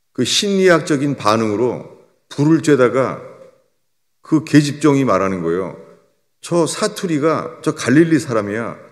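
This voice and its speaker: An older man preaching a sermon as a pastor, swinging between raised emphatic lines and low, quiet drops. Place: church sanctuary. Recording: long church reverb.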